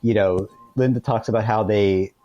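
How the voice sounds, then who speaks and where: A man's voice: fairly low pitch, fairly even intonation, normal speed, mellow, no echo, dull audio. A man explaining things conversationally as an interview guest, hesitantly, often repeating words and saying "you know". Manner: hesitant, with frequent 'you know' and repeated words.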